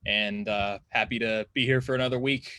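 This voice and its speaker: A man speaking informally on a history live stream, in measured, separated phrases. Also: on the low side, steady tone, pushed and bright, clean tone, no room sound. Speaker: history podcaster and contributor, panelist.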